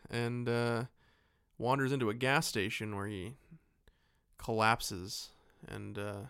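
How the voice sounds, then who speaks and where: A man's voice: lowish voice, little pitch movement, slow, vocal fry, fairly bright tone, smooth, no echo, clean studio sound. A man co-hosting a podcast, giving a casual film recap in an irreverent, mocking way. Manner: irreverent, mocking, mimics characters' lines. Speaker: podcast co-host. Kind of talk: casual film recap chat with acted-out dialogue.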